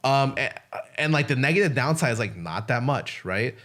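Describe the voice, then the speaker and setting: A man's voice: medium pitch, animated, normal speed, a bit bright. A man co-hosting a podcast, chatting casually and frankly and swearing freely. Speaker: podcast co-host.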